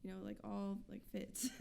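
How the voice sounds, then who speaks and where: A twenty-one-year-old woman, a band member, chatting in a radio studio interview, talking fast with lots of fillers and little laughs. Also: medium pitch, vocal fry, dark tone. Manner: chatty, many fillers, giggly. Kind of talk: casual interview chat.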